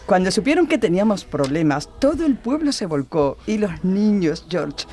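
A woman's voice: mid-range voice, sing-song, moderate pace, mellow, natural voice. A woman playing a devoted, eager wife in a Christmas radio play. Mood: tender. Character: devoted excited wife, Mary.

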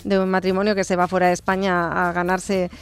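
A woman in her fifties, a nurse, talking thoughtfully in an interview, with hesitant restarts and phrases that trail off softly. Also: on the low side, level tone, brisk, clear, resonant tone. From born in England, raised in Barcelona. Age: 50s. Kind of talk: conversational interview.

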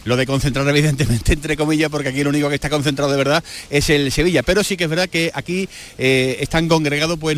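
A man's voice: on the high side, a little hiss or hum. A man reporting live for radio from an outdoor square full of fans, chatty, with many filler sounds and restarts. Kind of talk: live on-location sports report.

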